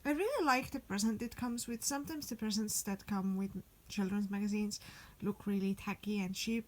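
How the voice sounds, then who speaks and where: A woman's voice: medium pitch, normal speed, a little mellow, rough recording. A young woman from Estonia, chatting enthusiastically in a casual vlog voice-over. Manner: chatty, enthusiastic, repeats "really". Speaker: vlogger.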